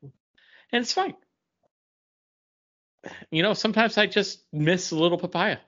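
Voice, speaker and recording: A man co-hosting a casual podcast, thinking aloud in a halting, conversational way. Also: sits high, bright, forceful tone. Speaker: podcast co-host. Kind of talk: casual chat. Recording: dull lo-fi recording.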